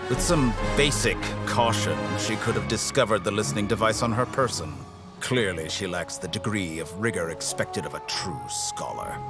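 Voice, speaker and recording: A man's voice: on the low side, normal speed, close to an everyday voice. A man voicing a suave, condescending mad scientist villain in an anime game, theatrical and sardonic. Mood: gloating, condescending. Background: game background music.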